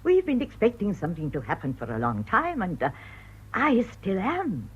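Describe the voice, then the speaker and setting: An actress playing a sharp-tongued, stubborn older village woman with an English accent, imperious and sardonic, in a radio drama. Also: medium pitch, wildly swooping pitch, normal speed, slightly rough. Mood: determined. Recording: dull, band-limited radio drama recording.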